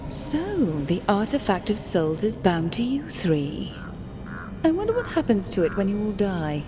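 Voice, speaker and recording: Haughty, slow-spoken scheming witch. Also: mid-range voice, very animated, background noise. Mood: coldly threatening. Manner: deliberate, theatrical menace. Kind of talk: video game character acting. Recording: game music behind.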